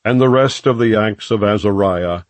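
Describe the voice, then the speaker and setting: Low-voiced man solemnly reading scripture. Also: no room sound. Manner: solemn, measured, formal phrasing. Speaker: audiobook narrator. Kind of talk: scripted scripture narration, non-dramatized audiobook reading.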